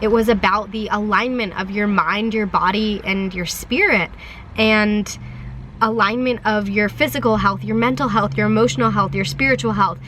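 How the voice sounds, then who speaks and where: A young woman with a hoarse, flu-roughened voice talks straight to her audience in a loose, rambling sit-down vlog. Her pitch sits in a middle range, and she speaks heartfelt, with frequent ums and restarts. A faint hum of noise runs behind her. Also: steady tone, normal speed, a bit bright. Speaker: vlogger. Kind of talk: rambling sit-down talk to camera. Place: parked van.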